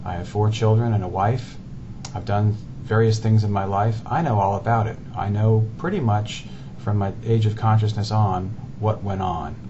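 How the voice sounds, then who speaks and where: A man in his forties presents his company in an earnest, deliberate way, leaning on lists of words. His voice sits fairly low. The recording is dull and lo-fi, with a steady hiss of background noise. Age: 40s.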